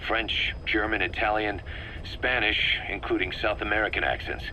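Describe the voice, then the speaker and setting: A man playing an analytical, wary military second-in-command in a video game, explaining things in a measured, methodical way. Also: on the low side, sharp tone, a little hiss or hum, low-quality recording. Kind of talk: scripted video game cutscene dialogue.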